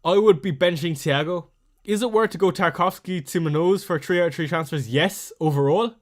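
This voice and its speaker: A man with a thick Irish accent hosting a casual fantasy football live stream, reading viewer messages aloud and answering each one quickly. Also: sits high.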